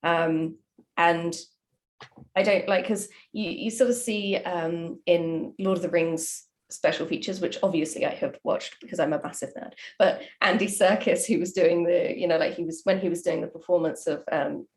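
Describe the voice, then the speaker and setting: A woman with a slightly low voice co-hosts a casual interview. She puts her questions in a loose, enthusiastic, slightly nerdy way. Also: fairly even intonation, normal speed. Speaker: writer, co-host asking questions. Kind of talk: casual chat.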